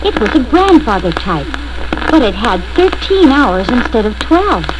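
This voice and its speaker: A woman reads a spooky children's story as a first-person narrator. Her voice is fairly high with very animated intonation, delivered slowly and deliberately. The old vinyl record adds clear crackle, scratches and pops.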